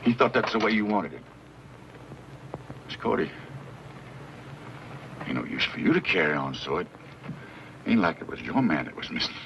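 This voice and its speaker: Radio western: folksy, plain-spoken American frontiersman, deep register, kindly, dull lo-fi sound. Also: very animated, moderate pace, pauses often, a bit bright, slight background noise, heavily acted. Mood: consoling, wry. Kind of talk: western radio drama acting. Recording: muffled lo-fi radio recording with music.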